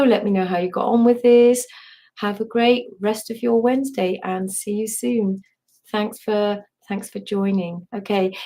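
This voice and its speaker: A woman with a hoarse, partly lost voice, gently guiding a live online voice and breathing workshop, meandering with restarts and asides. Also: mid-range voice. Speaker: somatic voice and movement teacher.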